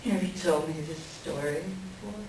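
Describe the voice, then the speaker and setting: A woman playing a tender, plain-spoken friend in a staged play reading, gently questioning. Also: fairly low pitch, normal speed, lightly acted. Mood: gentle curiosity. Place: library reading before a microphone at some distance. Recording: very echoey room, distant mic, faint noise.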